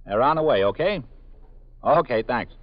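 An actor playing a police desk officer taking a call in a 1950s radio drama, brisk and reassuring. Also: male voice, mid-range voice, lively intonation, no room sound, lightly acted. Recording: dry, dull old radio broadcast.

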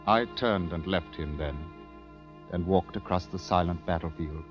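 An actor playing a somber war correspondent, narrating gravely and with measured delivery in a 1940s radio drama. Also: male voice, low voice, natural voice. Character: somber male British war correspondent recalling a battle. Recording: muffled old radio, music behind.

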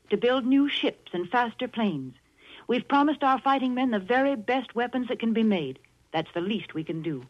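An American woman giving a folksy, earnest, plain-spoken scripted sponsor pitch on 1940s radio. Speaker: sponsor's announcer. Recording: muffled 1940s radio recording.

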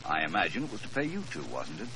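An actor playing a cool, urbane, self-assured private detective in 1940s radio style. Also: male voice, deep voice, big pitch swings, quick pace, sharp tone, exaggerated voice. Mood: cool, wry. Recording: noisy 1940s radio recording.

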